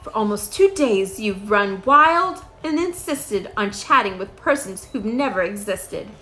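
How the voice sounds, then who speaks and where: A woman's voice: medium pitch, animated, unhurried, bright, forceful tone, faint music behind, pitched below the performer's own voice. A woman reading a children's storybook as a big bossy female kangaroo, haughty and scolding. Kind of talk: children's storybook read-aloud.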